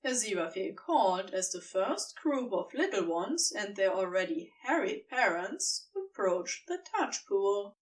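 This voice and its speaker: A woman's voice: medium pitch, very animated, unhurried, hardly any pauses, distant mic, low-quality recording. A woman reading scripted fiction as a storybook narrator.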